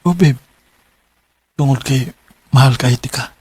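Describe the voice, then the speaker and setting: Radio drama casting: husky young sweetheart, earnest and devoted, Cebuano accent. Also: male voice, medium pitch, measured pace, darkish tone, no echo. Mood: earnest, tender. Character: earnest young male graduate, devoted boyfriend.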